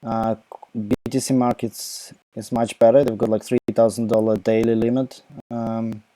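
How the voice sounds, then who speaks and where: A young Ukrainian-accented man answers questions live from home into his laptop microphone. His voice is very dark and mellow, and the sound is dry and close. He rambles casually, full of fillers and false starts. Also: fairly low pitch, level tone, moderate pace, dry recording. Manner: rambling, many fillers and restarts. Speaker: crypto live Q&A host. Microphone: laptop mic.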